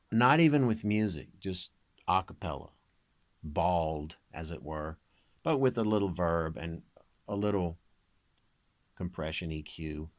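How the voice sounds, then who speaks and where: Male online creator, low deep voice, informal wry chat, very little room sound. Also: no echo. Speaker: amateur singer and video creator presenting own recording. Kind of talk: casual chat to viewers.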